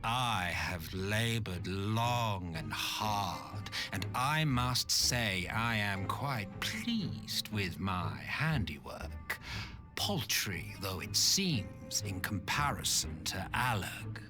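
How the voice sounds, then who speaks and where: An ancient immortal schemer from a video game, drawling slowly with mocking condescension. His pitch swoops theatrically as he toys with each phrase, weary and superior, over prominent orchestral music. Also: animated. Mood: smug, theatrical. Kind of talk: video game villain acting.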